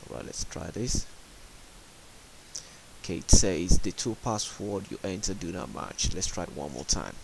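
A man with a very deep voice presents a step-by-step tech tutorial. He speaks in a plain, instructional manner with some hesitation. The voice-over is a home recording with a faint hiss running under it. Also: expressive, normal speed, a little mellow, rough recording. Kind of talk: step-by-step screen tutorial narration. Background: clear background hiss.

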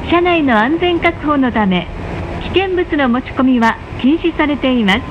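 A woman reading pre-recorded train announcements in a polite, formal, carefully measured manner. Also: expressive. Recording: muffled, noisy onboard train speaker recording. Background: rumble of a moving train.

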